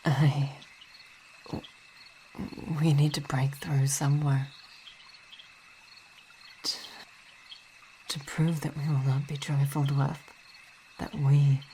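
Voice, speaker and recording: A woman voicing a weary, devoted vampire ruler and wife in an ASMR roleplay, speaking softly, often in a whisper, with halting, reflective phrasing and sighs. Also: very deep voice, little pitch movement, a little hiss or hum. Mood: worried, earnest.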